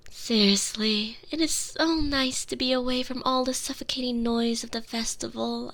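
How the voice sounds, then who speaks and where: A woman's voice: fairly high pitch, creaky. A woman playing a sheltered, haughty, lonely young princess in an intimate, theatrical ASMR roleplay on a close binaural mic, sometimes dropping into whispers. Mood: haughty, catty. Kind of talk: ASMR roleplay, scripted monologue. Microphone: close binaural microphone.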